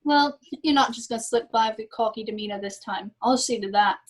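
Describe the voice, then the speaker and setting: A woman's voice: fairly high pitch, fairly quick, natural voice. A woman playing a gloating, sadistic torturer in an amateur musical script reading, taunting theatrically in speech and song. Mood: cocky threatening. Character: gloating sadistic torturer who relishes cruelty, singing villain. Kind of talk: amateur script reading with sung villain numbers.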